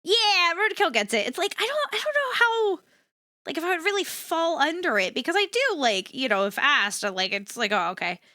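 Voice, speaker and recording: A woman livestreamer chatting casually and candidly to her viewers, swearing freely and repeating herself for emphasis, in a versatile but slightly rough voice. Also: sing-song, a little fast, pushed and bright, no echo, studio quality. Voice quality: versatile voice, swinging between low and high. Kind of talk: casual rambling chat to an audience.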